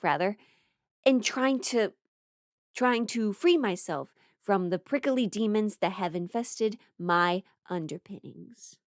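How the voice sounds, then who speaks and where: A woman's voice: medium pitch, no echo. American woman, dry close sound, playful storyteller. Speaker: stand-up comedy performer. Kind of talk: casual comedic storytelling monologue.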